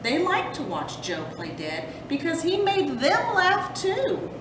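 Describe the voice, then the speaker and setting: A woman reads a children's storybook aloud at an unhurried pace. Her pitch swings widely as she leans dramatically on action words. There is a rumbling noise behind her. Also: wildly swooping pitch. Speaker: storytime host reading a picture book. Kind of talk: expressive children's storybook narration. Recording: roomy, distant mic with rumbling noise.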